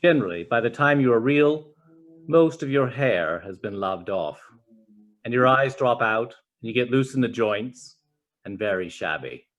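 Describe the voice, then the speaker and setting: A man voicing a wise, gentle old toy horse in a storybook, speaking slowly, softly and deliberately. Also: medium pitch, expressive, lo-fi sound, lightly acted. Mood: gentle, patient. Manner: slow, deliberate, soft delivery. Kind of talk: storybook reading.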